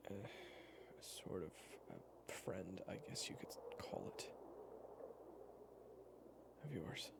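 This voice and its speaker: Man acting as expressive, worried low-voiced friend. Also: slow pace, gravelly, whispered, full character voice. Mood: somber, gentle. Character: worried, exasperated male friend of an amnesiac, gently explaining. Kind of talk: audio roleplay acting, one-sided dialogue.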